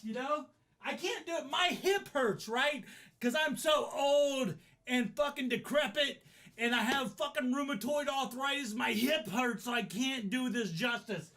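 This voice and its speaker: High-pitched American man telling rambling stories. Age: middle-aged. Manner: profane, animated, acts out his remarks. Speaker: storyteller, host. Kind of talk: casual rambling comic storytelling.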